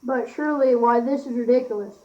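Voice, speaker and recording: A woman's voice: on the high side, steady tone, brisk, a little mellow, close to an everyday voice. A young teenage girl playing a polite, incredulous London newspaper reporter in an amateur school radio play. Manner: courteous, flustered. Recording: dull amateur recording.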